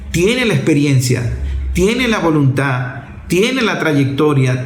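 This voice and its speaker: A man's voice: fairly high pitch, reverberant. A man delivering a political commentary monologue emphatically, leaning on rhetorical questions and repeated phrases.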